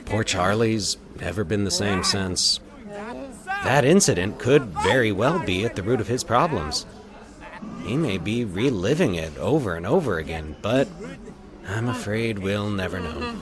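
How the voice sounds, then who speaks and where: A man playing a weary, measured doctor explaining things in a dramatic story reading. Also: fairly low pitch, expressive, over music. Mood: resigned.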